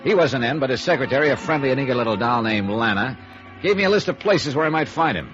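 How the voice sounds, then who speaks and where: An actor playing a hard-boiled, dry, probing American insurance investigator in 1950s radio noir, clipped and wry. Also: male voice, mid-range voice, animated, fast talker, lightly acted. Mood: wry, matter-of-fact. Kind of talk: 1950s radio noir acting with first-person narration. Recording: dull vintage radio recording with music.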